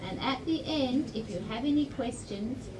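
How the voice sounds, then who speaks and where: A woman's voice: sits high, normal speed, a crowd in the background. A woman hosting a children's storytime, giving simple, encouraging directions to a group of young children. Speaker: library storytime host. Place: indoor library event room. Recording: distant mic, loud room noise.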